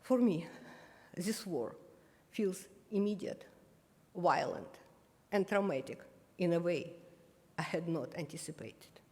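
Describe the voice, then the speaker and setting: A woman's voice: on the low side, animated, a little mellow. Older woman, reverberant hall. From Ukraine (Kyiv). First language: Russian. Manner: measured, solemn, small slips of the tongue. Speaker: guest speaker at remembrance ceremony. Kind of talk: read personal reflection.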